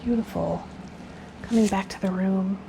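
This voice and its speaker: Casting: female tarot channel host, mid-pitched, gentle and encouraging, faint background noise. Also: normal speed, creaky, poor audio. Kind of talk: reading aloud and casual spiritual vlog talk.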